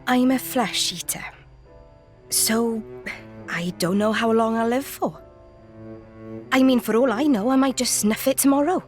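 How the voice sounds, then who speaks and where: A woman's voice: on the high side, unhurried, pauses often, soft background music, close to an everyday voice. A woman voicing a feisty young female game character, blunt and colloquial in the way she talks. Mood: matter-of-fact, resigned. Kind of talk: video game character acting.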